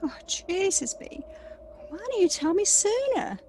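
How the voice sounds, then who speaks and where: A woman's voice: very high-pitched, very animated, measured pace, dark tone, a little hiss or hum, full character voice. A woman playing an anxious, needy, emotional sister in an audio play. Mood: worried.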